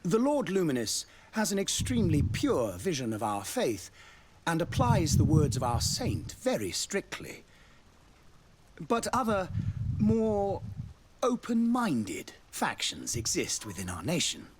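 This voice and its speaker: A man's voice: on the high side, wildly swooping pitch, unhurried, husky, exaggerated voice. A man playing a courtly, devout ambassador in a fantasy video game, speaking in a formal, florid, diplomatic way. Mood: smooth, assured. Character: courtly, devout male ambassador of a theocratic nation.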